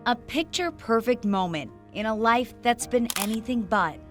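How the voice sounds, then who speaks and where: A woman's voice: a little high, expressive, moderate pace, loud music behind the voice, over a noisy crowd. A woman working as a TV news reporter, reading a scripted feature narration.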